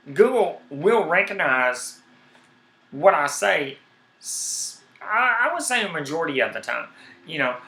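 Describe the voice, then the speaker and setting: A man with a heavy Southern accent rambles through his live stream, fired up and repeating himself. His voice sits a little high and comes across bright and forceful. The sound carries the echo of a bare room. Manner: rambling, animated, repeats words when worked up. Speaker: YouTube streamer. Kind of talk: casual live-stream chat.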